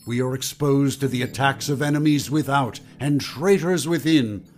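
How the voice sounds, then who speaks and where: A man's voice: medium pitch, normal speed, darkish tone, close to an everyday voice. A man playing a grand, paternal totalitarian leader in an audio drama, solemnly addressing his people. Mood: solemn, reassuring. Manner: solemn, declamatory. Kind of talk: theatrical political oratory in audio drama.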